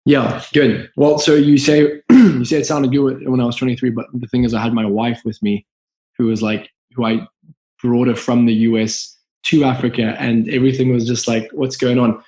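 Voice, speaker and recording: A man with a Zimbabwean accent speaks in a gravelly, fry-edged voice at a middling pitch. He tells his story in an earnest, reflective and open way, as a guest on a podcast. The sound is roomy, with a clear echo around him. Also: little pitch movement, normal speed, mellow. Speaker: guest entrepreneur.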